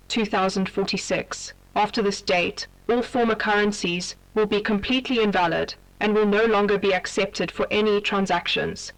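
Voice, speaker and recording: An announcer reading a scripted public advisory in an official, impersonal and measured manner. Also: medium pitch, far from the mic, rough recording. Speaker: emergency broadcast announcer.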